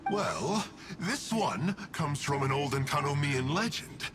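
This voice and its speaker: A man's voice: a little high, big pitch swings, measured pace, music in the background. An actor playing a smug young male villain in a video game, flippant and mocking, theatrical in his teasing. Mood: smug, gloating.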